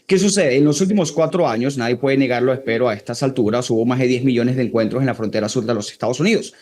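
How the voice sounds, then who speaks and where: A man from Venezuela, making brisk, assertive points as a panelist in a political debate. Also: mid-range voice, a little mellow.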